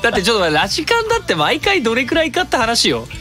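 A man's voice: very high voice, wildly swooping pitch, rapid-fire, pushed and bright, soft background music. A man in his thirties hosting a web radio show, chatting casually and jokingly and reading out announcements. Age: 30s. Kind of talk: casual comic chat and announcements.

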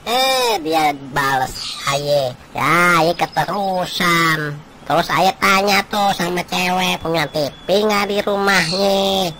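A man voicing a chatty, excitable, hapless village freeloader in a comic cartoon, grumbling and exclaiming in colloquial speech. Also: high-pitched, slow, background noise. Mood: complaining.

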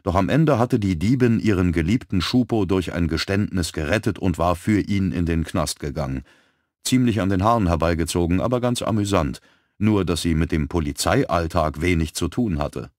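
A man narrating an audiobook thriller in an even, composed manner, close to a studio mic. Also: low-pitched, moderate pace, no room sound. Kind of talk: scripted narration.

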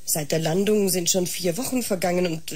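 A woman's voice: fairly low pitch, fairly even intonation, brisk, darkish tone, close to an everyday voice. An actress playing a polite, hopeful mother with a hesitant, deferential manner in a science-fiction radio drama.

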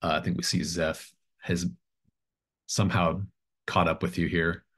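A man's voice: low-pitched, level tone, a little slow, a bit bright. A man running a tabletop role-playing game, narrating casually in an easygoing, hesitant way. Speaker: game master.

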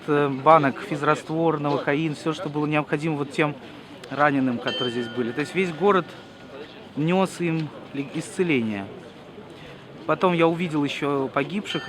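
A man, a journalist from Russia, giving a slow, thoughtful outdoor interview with hesitant pauses. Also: on the high side. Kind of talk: reflective street interview. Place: outdoor city square at a memorial gathering. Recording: outdoor crowd noise behind.

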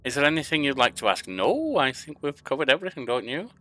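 Older man, bright voice, game commentary. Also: medium pitch, big pitch swings, fast talker. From England. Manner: reads interview questions aloud and answers them himself. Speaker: gaming YouTuber. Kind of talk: casual let's-play commentary. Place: home room at a desk.

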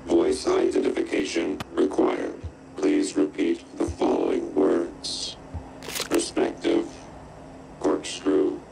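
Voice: extremely low pitch, monotone, takes it slowly, creaky, exaggerated voice, poor audio. An actor voicing a cold, formal automated security system in a video game. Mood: cold, procedural.

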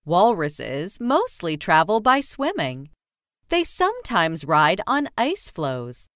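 A woman narrating a children's nonfiction book as a scripted read-aloud, with careful, clearly separated phrasing. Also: on the low side, huge pitch swings, takes it slowly, fairly bright tone, no room sound, clean studio sound.

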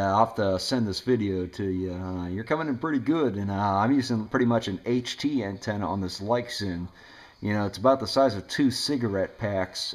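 A man with a low-pitched voice talks in a casual, conversational way as an amateur radio operator. He is indoors at home, chatting with another operator about gear. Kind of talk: casual two-way radio chat.

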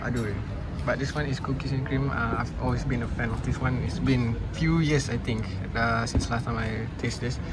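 A young Malaysian man talks chattily into his phone camera, slipping from English into Malay mid-sentence. His voice sits at a middle pitch. Loud traffic and car noise run under everything. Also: steady tone, moderate pace. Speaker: vlogger. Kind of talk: casual vlog narration. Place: car and city streets at night. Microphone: handheld phone camera.

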